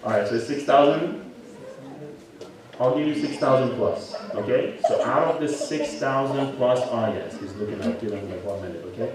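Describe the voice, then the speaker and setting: A man's voice: medium pitch, moderate pace, noisy background. Man preaching in a very echoey hall. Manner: conversational, questioning, frequent rhetorical questions and "right?" tags. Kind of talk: interactive sermon lecture to a congregation. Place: large echoing religious hall. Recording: very echoey hall, distant, audible congregation.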